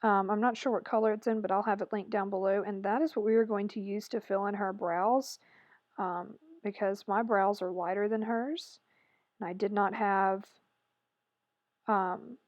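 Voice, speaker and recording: Raspy-voiced woman doing a chatty voice-over. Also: mid-range voice, darkish tone, dry recording, highs cut off. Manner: chatty and rambling, with self-correcting asides. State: raspy, hoarse voice. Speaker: makeup tutorial host. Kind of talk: casual tutorial voice-over. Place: voice-over recorded separately from the video.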